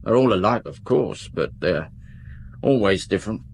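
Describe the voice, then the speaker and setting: An actor playing a defensive nightclub owner in a radio drama, talking in rough everyday grammar. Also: male voice, lowish voice, expressive, normal speed, heavily acted.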